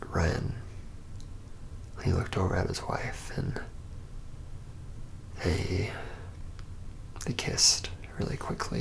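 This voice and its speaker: A reluctant young male vampire, acted by a man, recounts his past in an intimate ASMR roleplay. His voice is very low and heavily creaky, often dropping into a whisper. He speaks haltingly, with a rueful, haunted air. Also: fairly bright tone, exaggerated voice. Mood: reflective. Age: young adult. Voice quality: breathy whisper mixed with low, gravelly murmur. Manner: halting, hesitant, pausing mid-phrase. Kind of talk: ASMR roleplay, hushed first-person storytelling.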